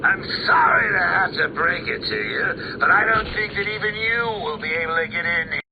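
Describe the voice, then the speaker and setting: An actor playing a cackling, unhinged male clown villain who taunts his nemesis in a theatrical, mocking way, swinging between whispers and shouts. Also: high-pitched, expressive, moderate pace, phone-quality sound, noisy background. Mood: taunting. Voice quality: gravelly, with manic laughter. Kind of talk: video game voice acting.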